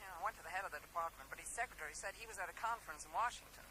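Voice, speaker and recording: An actress playing a brisk, determined and observant amateur sleuth in a radio drama, sounding conspiratorial. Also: female voice, on the low side, close to an everyday voice. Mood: brisk, intrigued. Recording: slightly noisy 1980s radio drama.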